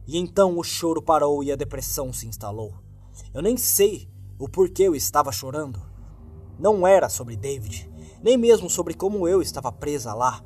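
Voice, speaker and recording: A man with a Brazilian accent reading a scripted horror story as narrator, in a grave and dramatic manner. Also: on the high side. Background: background music.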